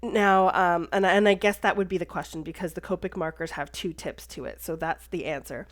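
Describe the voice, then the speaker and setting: A woman narrates a crafting tutorial, her voice stuffy and congested. She talks in a relaxed, chatty way, pausing on fillers and stopping to correct herself as she explains each step. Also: on the low side. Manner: chatty, with frequent "um" and self-corrections. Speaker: card-making craft tutorial host. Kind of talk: casual voice-over tutorial narration.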